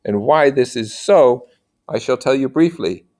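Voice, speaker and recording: A man reading a story aloud as a sorrowful, devoted medieval gentleman fallen into poverty, speaking earnestly and formally. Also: mid-range voice, animated, unhurried, voice pushed up, lightly acted.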